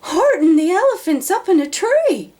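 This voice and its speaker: A woman voicing mocking, gleeful animals shouting taunts in a children's storybook. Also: very high-pitched, sing-song, moderate pace, voice pushed up. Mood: gleeful mockery. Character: jeering, gleeful crowd of animal friends. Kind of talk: children's storybook read-aloud.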